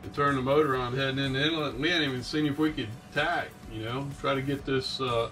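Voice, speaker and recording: A man's voice: medium pitch, fairly even intonation, fast, pushed and bright, distant mic, faint music behind, rough recording. A middle-aged man casually recounting a sailing trip as a vlog host, recorded in a small boat cabin. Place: small wood-panelled sailboat cabin.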